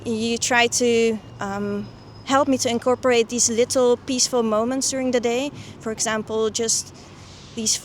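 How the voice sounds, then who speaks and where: Young lady, kinda high voice, thinking out loud in an interview, some noise behind. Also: fairly even intonation, normal speed, hardly any pauses. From the Netherlands. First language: Dutch. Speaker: guest, coaching client and doctor. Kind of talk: personal testimonial interview.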